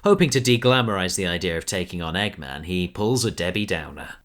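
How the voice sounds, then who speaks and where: A man's voice: sits low, lively intonation, fairly quick, a bit bright. A man reading scripted narration for a fan audio drama.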